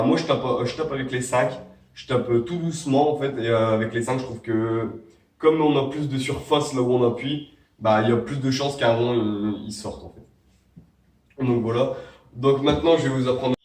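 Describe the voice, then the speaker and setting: A man's voice: medium pitch, moderate pace, darkish tone. A young man with a cold, rambling through a casual how-to tutorial with many fillers and restarts. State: has a cold. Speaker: vape tricks tutorial host. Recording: echoey room, mic at a distance.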